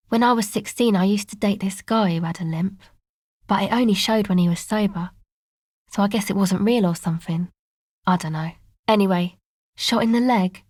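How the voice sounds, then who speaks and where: Woman acting deadpan young slacker woman, very dry recording. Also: medium pitch, no echo, close to an everyday voice. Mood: subdued, casual. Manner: casual, slangy, deadpan. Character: laid-back, sardonic young woman who records field sounds. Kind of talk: video game character dialogue.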